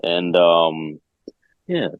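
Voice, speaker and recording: A man with a very low voice hosts a relaxed online interview. He talks in an upbeat, chatty way with plenty of fillers, and the recording sounds dry and close. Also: drawn-out words, dry recording, phone-quality sound. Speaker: host. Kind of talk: casual interview chat.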